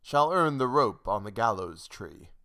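A man voicing a sinister, mocking hangman in a dramatic poem, taunting in deliberate riddles. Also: fairly low pitch, sing-song, bright, forceful tone, smooth, exaggerated voice. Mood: sly. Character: sinister, mocking hangman, knowing and businesslike executioner. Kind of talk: dramatic poetry recitation.

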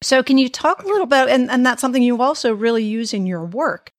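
A woman's voice: fairly high pitch, lively intonation, quick pace, dry recording, studio quality. A woman born in the United States hosting an interview, enthusiastic and affirming, asking long, rambling questions. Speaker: interview host. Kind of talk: casual interview conversation.

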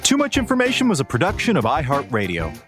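A man's voice: fairly high pitch, wildly swooping pitch, fast. A man announcing podcast credits in a scripted outro read. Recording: clear guitar music behind. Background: guitar music.

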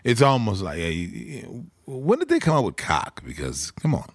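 Slangy man, deep and very animated. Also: normal speed, slightly rough, no echo, clean studio sound. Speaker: guest. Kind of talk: casual chat.

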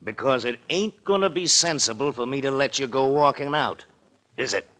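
A menacing crook from an old radio thriller. He talks in tough street slang and stretches words out, his pitch dipping and jumping widely. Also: lively intonation, a bit bright. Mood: menacing, sneering. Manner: slangy tough-guy talk with drawn-out words. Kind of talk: 1940s radio crime acting. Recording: vintage 1940s radio recording.

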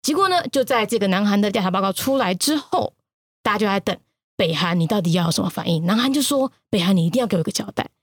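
Lively, husky Taiwanese woman storyteller. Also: mid-range voice, quick pace, no room sound, studio quality. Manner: expressive, teasing. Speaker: news podcaster, guest storyteller. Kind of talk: playful news storytelling.

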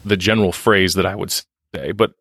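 A man's voice: low-pitched, steady tone, moderate pace, fairly bright tone, dry recording, clean studio sound. A man talking candidly in a podcast interview, reflective and self-deprecating, often restarting his sentences. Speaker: podcast guest on leadership and success.

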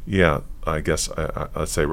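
A man in his fifties from California, a podcast guest reflecting on his past in a relaxed, storytelling way. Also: extremely low pitch, normal speed. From California, USA. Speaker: podcast guest, yoga teacher. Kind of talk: casual interview conversation.

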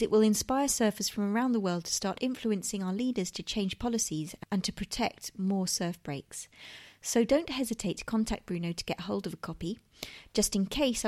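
A woman's voice: mid-range voice, darkish tone. Woman hosting an ocean podcast. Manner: reads the intro steadily, then chats with encouraging fillers. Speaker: podcast host. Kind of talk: podcast interview with a scripted intro.

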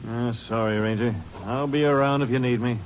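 A man's voice: medium pitch, steady tone, brisk, natural voice. A man playing a shifty car dealer. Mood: defensive. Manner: evasive, defensive. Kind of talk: 1950s radio acting. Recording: dull radio recording with light hiss.

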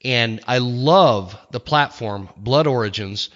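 A man's voice: mid-range voice, a bit bright. Friendly male podcast host, slightly muffled. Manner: friendly, halting with fillers. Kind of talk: casual podcast interview. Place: podcast studio.

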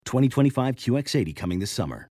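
A man giving a smooth, polished commercial voiceover. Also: mid-range voice, big pitch swings, quick pace, warm tone, no echo, clean studio sound. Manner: smooth, polished promo delivery. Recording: faint music bed.

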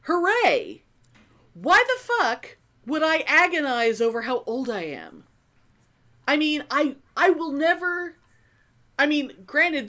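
A woman in her fifties chatting casually as a podcast co-host, swearing freely and telling stories with energy. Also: on the high side. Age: 50s. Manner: profane, animated storyteller. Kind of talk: casual chat.

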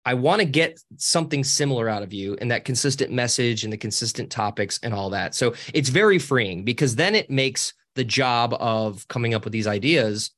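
Animated man with a high voice. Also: normal speed, few pauses. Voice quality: high voice with a cackle. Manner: animated, cheeky, rambling with restarts. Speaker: podcast guest, social media co-founder. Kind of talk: casual interview talk.

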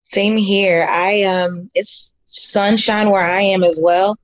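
A young woman, a university student, giving brief, soft-spoken answers as a podcast guest. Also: medium pitch, fairly even intonation, takes it slowly, dry recording, rough recording. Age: young adult. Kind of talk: casual podcast interview.

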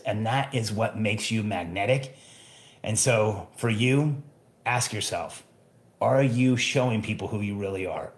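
Earnest man coaching, echoey room. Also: lowish voice. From Mexico. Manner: earnest, direct, repeats lines for emphasis. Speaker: business coach. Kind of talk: motivational monologue.

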